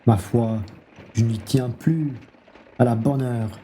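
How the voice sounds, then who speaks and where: A man's voice: mid-range voice, takes it slowly, dark tone, slight background noise, lightly acted. A man playing a hungry, blunt, wheedling bourgeois husband in a French radio play, speaking in an exclamatory, familiar way. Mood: eager flattery.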